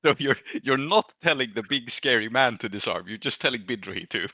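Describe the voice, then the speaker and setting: A man's voice: medium pitch, lively intonation, fairly quick, sharp tone, no room sound, highs cut off. A man improvising as game master of a tabletop game, narrating casually with an amused, chuckling manner.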